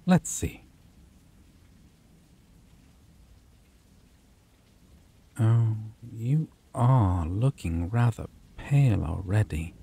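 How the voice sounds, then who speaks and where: A man playing a slow, purring possessive vampire. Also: lively intonation, creaky, breathy edge, heavily acted. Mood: doting. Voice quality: low, slow, purring delivery with soft chuckles. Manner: teasing and intimate, drops to whispers. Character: possessive predatory male vampire, teasing and doting. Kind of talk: ASMR roleplay.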